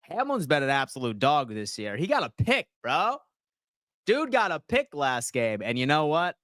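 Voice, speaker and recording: A man, hosting a casual sports livestream and answering viewers' comments in slangy, animated talk. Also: fairly high pitch, normal speed, no room sound, clean studio sound. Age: 30s. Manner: slangy, animated, reads chat aloud and answers.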